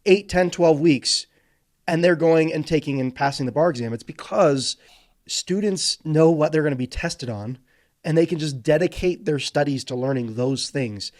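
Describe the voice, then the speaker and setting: A man hosts an interview and asks his guest questions in an encouraging way. His voice sits a bit higher than most men's. He sounds keen and upbeat. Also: normal speed, darkish tone. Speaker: host. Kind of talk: casual interview.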